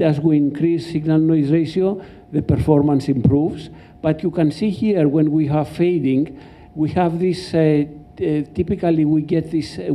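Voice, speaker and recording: An older man with a Greek accent giving an academic lecture as a professor, halting and explanatory, speaking into a microphone in a lecture hall. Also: on the high side, gravelly, warm tone, slightly rough. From Greece. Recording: dull, muffled lecture-hall recording.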